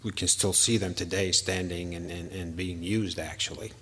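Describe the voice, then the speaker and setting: A man's voice: deep voice, steady tone, normal speed, fairly bright tone, big-room sound, slight background noise. A man in his fifties, originally from Syria, answering interview questions as a structural engineer, hesitant with many fillers.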